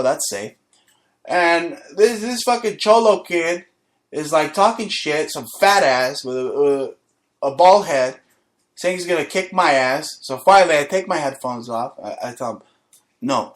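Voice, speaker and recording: A man's voice: a little high, fairly bright tone. A man hosting a talk show, ranting aggressively with lots of swearing and telling a boastful story from his school days. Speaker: show host. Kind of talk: profane comedic rant and storytelling.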